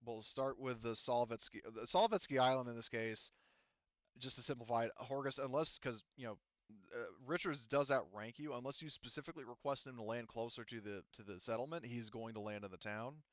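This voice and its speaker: A man's voice: mid-range voice, brisk, no echo, lo-fi sound. A man running a tabletop game as game master, talking in a rambling, casual way with lots of fillers and the odd swear word. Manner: rambling, filler-heavy, profane.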